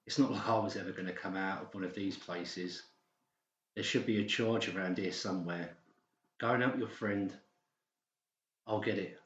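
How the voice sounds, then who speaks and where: A bitter, resigned young male patient, voiced by a man in an audiobook drama. His voice sits fairly low and sounds troubled. The recording is dull and roomy. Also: fairly even intonation, normal speed, long pauses, a little hiss or hum, natural voice. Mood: resigned, urgent. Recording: muffled, echoey home recording.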